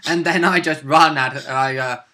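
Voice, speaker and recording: A man with a slightly high, bright voice, chatting casually as a tabletop player. He sounds amused and agreeable, chipping in with his side of the game. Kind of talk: casual chat about a game session.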